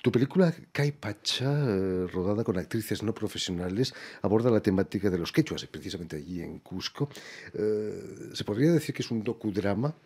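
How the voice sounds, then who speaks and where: A man with a Spanish accent, a radio interviewer asking measured questions and ending them with tag questions. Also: fairly low pitch, lively intonation, darkish tone, breathy edge. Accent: Spain Spanish.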